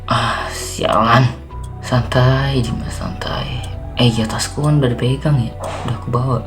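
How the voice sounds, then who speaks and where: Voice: mid-range voice, steady tone, measured pace, lightly acted. A man playing a weary, jumpy zombie apocalypse survivor in a Minecraft roleplay, muttering and exclaiming to himself in casual slang. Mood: muttering, planning. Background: background music.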